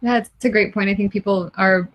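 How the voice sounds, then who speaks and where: A woman's voice: medium pitch, steady tone, moderate pace, muffled recording. Chatty woman interviewer, friendly. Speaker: interviewer host. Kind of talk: casual interview questions.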